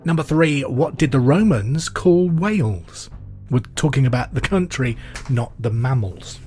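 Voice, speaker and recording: A man hosting a pub quiz podcast, reading questions and answers in a clear, deliberate way with casual asides. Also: medium pitch, lively intonation, a little mellow. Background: music under the voice.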